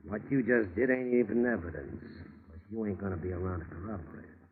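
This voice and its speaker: A man's voice: fairly low pitch, fairly quick, natural voice. A man playing a smug, menacing American gangster killer with slangy, sardonic talk, in a 1950s radio drama. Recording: tinny old radio broadcast.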